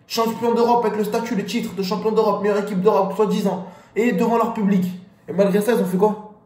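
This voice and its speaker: A man's voice: high-pitched, a little fast. A man giving a casual, slangy pre-match football rant as host of his channel. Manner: animated, slangy street talk. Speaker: football YouTuber. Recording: echoey room.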